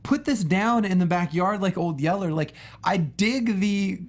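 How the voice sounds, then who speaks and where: A man's voice: sits high, lively intonation, measured pace. A man co-hosting a casual panel discussion, talking in a slangy, exasperated, exaggerating way. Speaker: panel co-host of a film review show.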